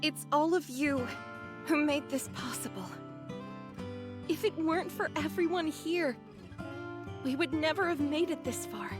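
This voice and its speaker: An actress voicing a gentle, earnest squad leader in a game cutscene, measured and sincere. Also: female voice, sits high, over music. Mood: solemn, grateful. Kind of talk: scripted game cutscene acting.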